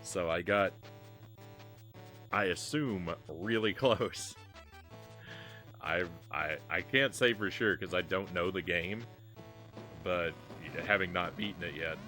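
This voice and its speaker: Deep-voiced, bright-toned male streamer. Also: slow, pauses often, soft background music, low-quality recording. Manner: rambling, self-deprecating, frequent restarts. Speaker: retro game streamer. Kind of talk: casual live-stream chat while gaming.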